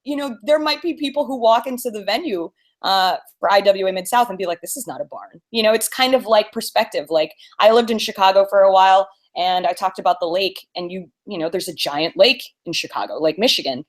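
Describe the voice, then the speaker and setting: An American woman co-hosting a podcast, telling anecdotes in a casual, animated way and laughing easily as she talks. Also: medium pitch, a little fast, few pauses. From born in Mobile, Alabama; grew up on the US East Coast. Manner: animated storytelling, laughs easily while talking. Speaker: podcast co-host.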